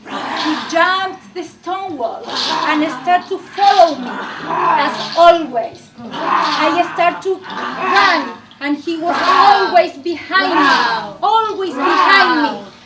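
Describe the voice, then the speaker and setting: A woman with a high, swooping voice reads a dream story aloud at an unhurried, dramatic pace. She is recorded from well back, with heavy noise underneath. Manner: slow dramatic storytelling, rising to near-shouts. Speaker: English learner. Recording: distant mic with loud noise.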